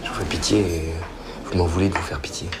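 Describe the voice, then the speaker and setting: A man's voice: sits low, steady tone, fast talker, a loud crowd, natural voice. An actor playing a bitter man who calls himself a failure and lashes out at his old friends. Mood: resentful. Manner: bitter, self-lacerating confrontation. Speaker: character in a feature film. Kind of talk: film acting.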